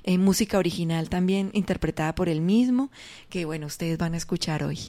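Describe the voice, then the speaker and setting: A woman's voice: on the low side, brisk, mellow. A woman with a Colombian accent speaking conversationally as a radio drama director being interviewed.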